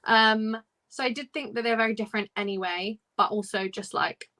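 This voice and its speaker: A woman's voice: mid-range voice, a little fast, sharp tone. A woman hosting a casual book discussion on a livestream, talking in a chatty, informal way. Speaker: host of a book discussion live show.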